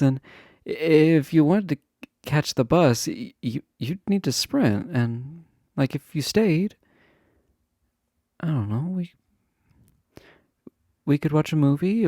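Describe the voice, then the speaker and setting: Man playing a slow, mellow, flirty college guy. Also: medium pitch, no echo, clean studio sound. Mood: nervous, reluctant.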